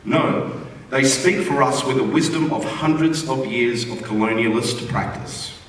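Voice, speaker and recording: A man's voice: mid-range voice, measured pace. An Australian man reading a written humorous postcard aloud to an audience in a wry, conversational way. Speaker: reader at a theatre forum. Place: large indoor theatre forum venue. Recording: echoey hall, distant mic, room noise.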